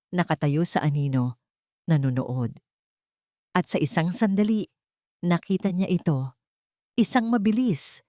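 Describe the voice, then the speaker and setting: A woman narrating a romantic drama in a solemn, measured, theatrical storytelling style. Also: lowish voice, a little mellow, no echo, clean studio sound.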